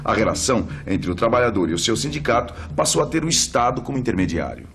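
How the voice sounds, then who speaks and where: A man's voice: mid-range voice, big pitch swings, fast talker, a bit bright. A Brazilian man presenting an educational documentary in a conversational narrating style.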